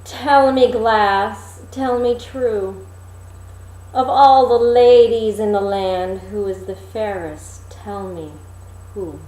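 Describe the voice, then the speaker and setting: A woman's voice: medium pitch, dark tone, natural voice. A woman voicing a wicked, vain old queen from a storybook, theatrical and emphatic. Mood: vain, demanding. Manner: theatrical, emphatic, drawn-out delivery. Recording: roomy echo, faint background noise.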